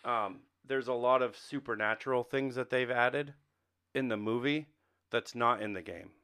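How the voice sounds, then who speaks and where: A man with a medium-pitched voice speaks as a podcast co-host. He discusses films and games in a casual, conversational way. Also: normal speed, sharp tone, smooth. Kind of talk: casual film and game discussion.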